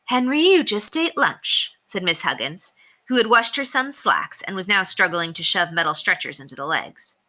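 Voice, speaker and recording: A woman reading a children's book aloud as narrator, expressive and emphatic. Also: fairly low pitch, moderate pace. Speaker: storybook reader.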